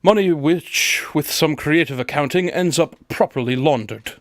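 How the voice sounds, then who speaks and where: A man plays an aloof radio drama detective with a fairly high male voice, recorded close and dry. He reasons methodically, in a clipped and pompous way, as if every conclusion were obvious. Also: normal speed, no room sound, close to an everyday voice. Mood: dry deduction.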